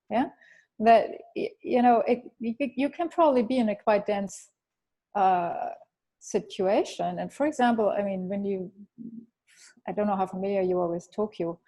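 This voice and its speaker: Woman, gravelly and husky. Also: medium pitch, a little slow, mellow. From Germany. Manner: hesitant, many fillers and restarts. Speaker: interviewee, architect. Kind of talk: thoughtful interview answers.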